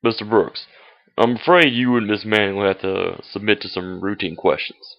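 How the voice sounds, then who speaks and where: A man playing a formal, probing police inspector in an old-fashioned radio mystery, with deliberate authority. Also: lowish voice, fairly even intonation, creaky. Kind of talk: old-fashioned radio detective drama acting. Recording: dull, lo-fi amateur radio-drama recording.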